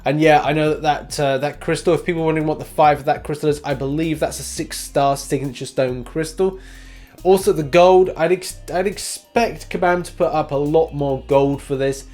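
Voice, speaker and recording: A man's voice: a little high, fairly even intonation, moderate pace, a little mellow, soft background music. A man hosting a casual gaming news video, chatty and informal, punching his headlines with emphasis.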